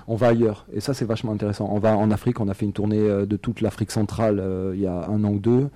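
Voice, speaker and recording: A man's voice: on the low side, flat delivery, fast talker, mellow, no room sound. A French-raised man, a singer and musician, answering interview questions in a loose, hesitant way with many fillers and small laughs. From France. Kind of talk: casual radio interview.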